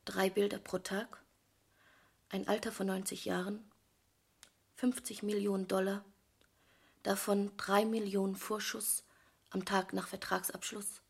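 A cool, assertive painter in a radio play. Her voice is breathy and husky with plenty of fry, and she sounds self-possessed and matter-of-fact. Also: medium pitch, flat delivery, unhurried, pauses often. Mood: cool, businesslike. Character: self-possessed, hard-bargaining woman painter. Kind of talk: German radio drama acting.

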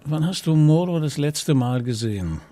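A man's voice: fairly high pitch, animated, normal speed, mellow, natural voice. A middle-aged man acting a shrewd, patient police commissario in a radio drama, probing and dry in manner. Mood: probing, calm.

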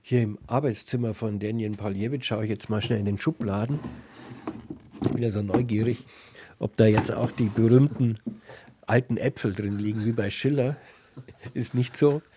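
A man with a husky voice presenting and interviewing for a cultural radio programme. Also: fairly low pitch, fairly even intonation, moderate pace, background noise.

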